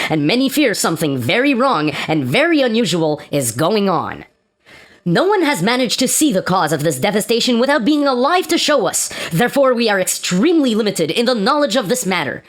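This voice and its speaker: A woman's voice: medium pitch, big pitch swings, a little fast, close to an everyday voice. A woman playing a formal male news anchor in a science fiction radio play. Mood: formal, urgent. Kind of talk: scripted news broadcast parody.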